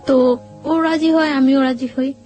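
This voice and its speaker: A woman with a high voice recounts her own experiences as an interview guest. She speaks conversationally and haltingly, slipping in quoted dialogue, over faint background music. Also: fairly even intonation, mellow. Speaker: guest telling her life story. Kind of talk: personal storytelling in an interview.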